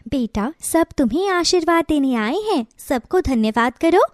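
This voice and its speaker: A loving mother bird from a children's cartoon, played by a woman. Her voice is very high and swings expressively. She speaks with earnest affection in a close, dry sound. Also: expressive, normal speed, dry recording. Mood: tender. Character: loving, gentle mother bird, warm and welcoming. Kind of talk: Hindi children's story cartoon dialogue.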